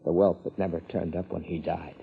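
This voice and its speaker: A man playing a bitter, unhinged murderer in a 1950s radio drama, brooding and halting in his delivery. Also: low voice, level tone, gravelly, breathy edge, heavily acted. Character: bitter, unhinged male murderer, a miser's grandson. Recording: dull 1950s radio recording.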